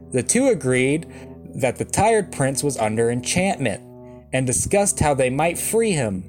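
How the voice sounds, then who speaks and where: A male comedian narrates a fairy tale with very lively, swinging intonation. He slips in wry, dry asides as he reads. Soft plucked guitar plays behind his mid-pitched voice. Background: plucked guitar music.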